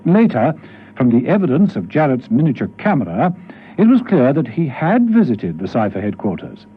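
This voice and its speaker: A man's voice: medium pitch, big pitch swings, moderate pace, slightly rough. A man narrating a classic spy radio drama from a script. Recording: dull old radio recording with music.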